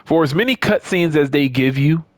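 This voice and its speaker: A man's voice: a little high, normal speed, no room sound. A man giving casual, off-the-cuff commentary on a video game, emphatic and repeating words for stress. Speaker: gaming video creator.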